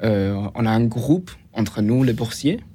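A young man with a foreign accent, a non-native French speaker, gives halting answers as a student guest in an interview. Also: medium pitch, expressive, warm tone, a little hiss or hum. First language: not French, learned it after moving to France. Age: young adult.

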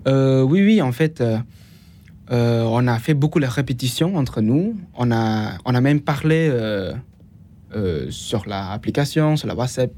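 A young man with a foreign accent, a non-native French speaker, gives halting answers as a student guest in an interview. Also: medium pitch, animated, darkish tone, a little hiss or hum. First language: not French, learned it after moving to France. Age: young adult.

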